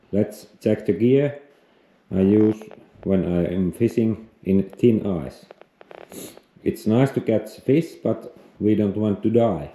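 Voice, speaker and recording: A man from Finland speaking English with a Finnish accent, non-native and halting, explaining outdoor gear in a casual vlog. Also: on the low side, mellow, roomy sound, a little hiss or hum. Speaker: outdoors vlogger. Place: outdoors on a frozen lake, later indoors.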